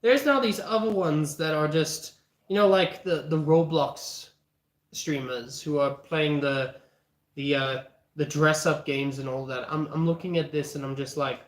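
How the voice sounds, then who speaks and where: A man chatting casually on a cooking livestream while losing his voice, rambling and often clearing his throat. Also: fairly high pitch, unhurried. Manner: rambling, frequent throat-clearing, easy laughter. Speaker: livestreaming home cook. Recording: echoey room, mic at a distance.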